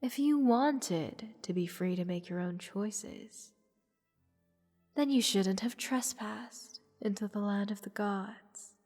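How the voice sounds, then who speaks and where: A woman playing a haughty, bored goddess in a roleplay audio drama, condescending and teasing in a languid, breathy delivery. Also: mid-range voice, slow pace, darkish tone. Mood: smug, coaxing. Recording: long reverb, music underneath. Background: orchestral music.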